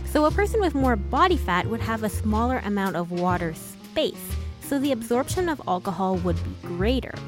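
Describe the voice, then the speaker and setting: A woman's voice: a little high, big pitch swings, normal speed, hardly any pauses, music in the background. A woman narrating an explainer segment in a chatty, personal way. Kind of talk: scripted narration.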